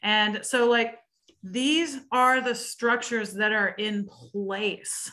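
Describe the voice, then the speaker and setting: A woman's voice: medium pitch, fairly even intonation, drawn-out words, sharp tone. A woman co-hosting a podcast, talking earnestly about music teaching, with many "like" fillers and pointed questions. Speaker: podcast co-host and music educator. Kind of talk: casual podcast chat.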